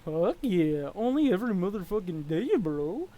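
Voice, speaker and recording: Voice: medium pitch, big pitch swings, moderate pace, mellow, close to an everyday voice. A reader voicing a laid-back, foul-mouthed male troll clown with a lazy drawl. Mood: mellow.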